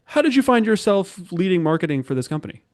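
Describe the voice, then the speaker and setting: A man hosting a marketing podcast, reading a scripted intro with upbeat, clear delivery and then asking interview questions. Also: sits high, sing-song, fast talker, no echo, studio quality. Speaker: podcast host and reporter.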